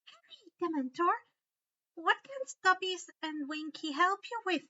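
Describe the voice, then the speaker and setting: A woman's voice: very high-pitched, animated, a little slow, bright, forceful tone, no room sound, muffled recording, pitched above the performer's own voice, heavily acted. A woman voicing an earnest, protective male house elf servant with emphatic, broken servant grammar in a story reading. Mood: eager.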